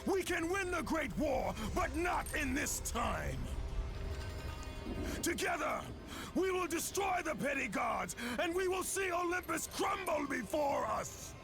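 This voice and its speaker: Furious defiant warrior from a game, high strained delivery, slow and menacing, loud music behind. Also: male voice, very animated, creaky, heavily acted. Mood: defiant, rallying. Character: furious vengeful warrior man, defiant demigod. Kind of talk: video game cutscene acting.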